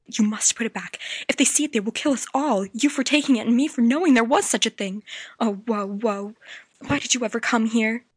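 A man voicing a frightened, secretive young girl from ancient times, speaking in urgent, hushed pleas. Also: high voice, a little fast, no echo, pitched above the performer's own voice. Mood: terrified.

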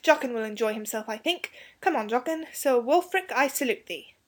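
A woman's voice: on the high side, expressive, normal speed, voice pushed up. A woman voicing an eager young English schoolboy in a storybook, earnest and hasty, stumbling over his slangy words. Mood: bold. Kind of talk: storybook character dialogue.

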